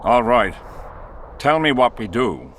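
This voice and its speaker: A man's voice: mid-range voice, big pitch swings, takes it slowly, a bit bright, slight background noise. An actor playing a crude, arrogant king in a video game, blunt, scornful and commanding. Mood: haughty, skeptical. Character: crude, arrogant, imperious male warrior king.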